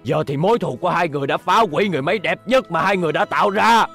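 An actor voicing hot-tempered rival men in a dubbed cartoon, in a dramatic, declamatory manner. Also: male voice, on the high side, racing, vocal fry, fairly bright tone, faint music behind. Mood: sorrowful. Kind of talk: animated cartoon dub, Vietnamese dialogue.

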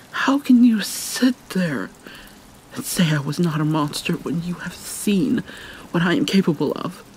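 A haunted vampire mermaid played by a woman speaks slowly and formally, dropping into whispers. She is prickly and weighed down by guilt, yet caring. It is an ASMR roleplay with faint watery noise behind her. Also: on the low side, darkish tone. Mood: indignant, bitter. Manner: halting, formal, slipping into whispers. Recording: noisy ambience with water sounds.

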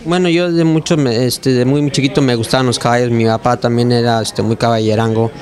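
Hesitant jockey, handheld mic. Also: male voice, medium pitch, steady tone, brisk, darkish tone. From Mexico. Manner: hesitant, many fillers and restarts. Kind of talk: on-camera interview answers. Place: racetrack clubhouse indoors. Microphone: handheld mic held by interviewer.

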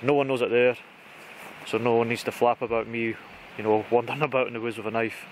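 A man's voice: medium pitch, little pitch movement, quick pace. British man chatting casually outdoors, noisy background. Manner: informal, chatty. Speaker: outdoor vlogger. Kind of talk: casual gear walkthrough to camera. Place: outdoors in conifer woodland. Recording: outdoor recording with clear background noise.

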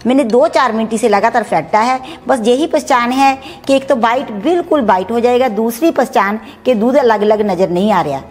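A woman's voice: fairly high pitch, normal speed, hardly any pauses, darkish tone. A middle-aged woman in her home kitchen, chattily explaining each step of a recipe as she cooks. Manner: chatty, explanatory, mixes English words. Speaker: cooking channel host. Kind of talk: home cooking demonstration.

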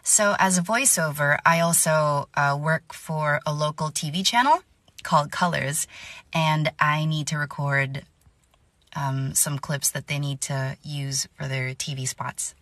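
A woman's voice: low-pitched, unhurried, a bit bright. A young adult woman who works as a voice-over artist, explaining her work casually.

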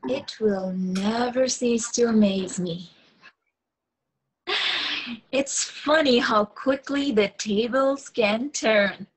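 Scheming female captor, twenties, slow and high-pitched, acted by a woman for a short-film read. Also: breathy edge, poor audio. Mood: gloating, menacing. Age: young adult. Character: gloating young female captor with evil laugh. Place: indoor room on a video call. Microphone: video call.

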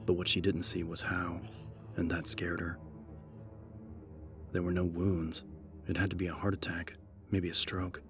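A man narrating an audiobook in a measured, solemn, scripted dramatic style. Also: deep voice, monotone, music in the background.

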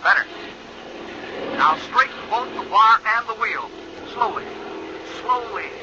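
High-voiced radio flight instructor. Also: male voice, expressive, measured pace. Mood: urgent, steady. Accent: American. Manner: clipped, instructive. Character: steady, careful male flying instructor talking someone down. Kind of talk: 1950s radio drama acting. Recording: muffled, noisy 1950 radio recording.

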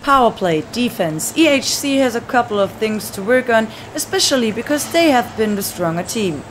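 A woman's voice: on the high side, expressive, moderate pace, background noise. A woman reading a scripted sports report as a reporter narrating a game recap.

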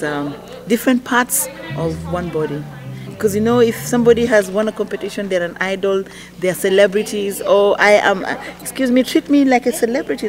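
A middle-aged woman with a Kenyan accent giving an emphatic on-camera testimonial. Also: mid-range voice. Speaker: music teacher and worship singer. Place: large church auditorium. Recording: music playing behind the voice. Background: music.

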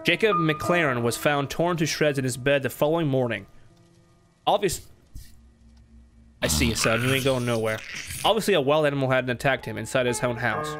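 A man's voice: medium pitch, normal speed, pauses often, fairly bright tone. Male gamer, twenties, informal and bantering let's-play delivery, mid-range voice, music underneath. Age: 20s. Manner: informal, slangy, bantering with the game. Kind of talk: casual gameplay commentary mixed with reading aloud.

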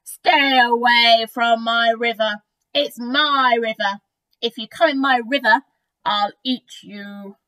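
A woman's voice: very high-pitched, big pitch swings, a little fast, distant mic, voice pushed up, low-quality recording. A woman voicing a big, selfish male crocodile in a children's storybook read aloud. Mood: bossy, threatening.

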